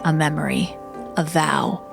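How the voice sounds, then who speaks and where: Slow, creaky-voiced woman narrator. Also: low-pitched, steady tone. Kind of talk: scripted dramatic story narration. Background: background music.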